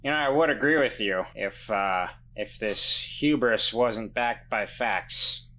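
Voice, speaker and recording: A man's voice: lowish voice, a little slow, pushed and bright. A man voicing a cocky, wry grifter in a tabletop game, speaking in a dry, flippant, bargaining way. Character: Walter, cocky wry deal-making grifter.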